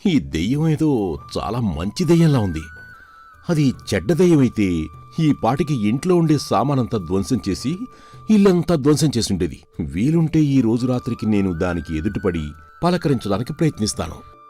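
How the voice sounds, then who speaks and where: A man's voice: medium pitch, big pitch swings, moderate pace, dark tone, faint music behind, lightly acted. A man playing a curious, kind-hearted household servant in a children's moral story, earnest and inquisitive. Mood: musing.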